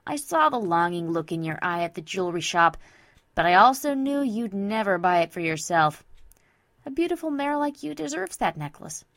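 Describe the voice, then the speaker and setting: A brash young cartoon mare, acted out by a woman narrator with a loud, exuberant delivery. She is playful and teasing, like a DJ character in an animated story. The sound is dry, with no room on it. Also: mid-range voice, moderate pace, no room sound. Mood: affectionate. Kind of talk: fan fiction character voice.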